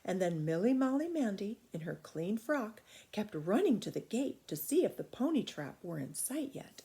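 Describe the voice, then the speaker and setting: A woman reading a children's story aloud in expressive narration. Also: medium pitch, moderate pace.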